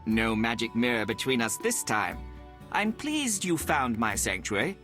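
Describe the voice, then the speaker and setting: A calculating young royal heir in a fantasy game. The delivery is formal and self-assured, swinging widely in pitch at a middling register. Faint music sits behind it. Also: male voice, very animated, unhurried, pushed and bright. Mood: shrewd, self-assured. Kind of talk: video game dialogue acting.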